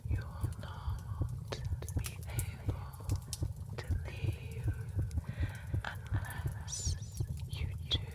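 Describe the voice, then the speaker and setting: A woman whispering as a vampire who runs a spooky beauty spa, in an ASMR roleplay. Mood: soothing. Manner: soft, unhurried whisper throughout.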